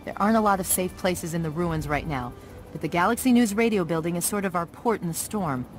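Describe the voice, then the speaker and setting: An actress playing a no-nonsense young woman squad commander in a video game, brusque and authoritative with military briskness. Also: fairly low pitch, close to an everyday voice. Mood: brisk, firm. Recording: game audio with music underneath.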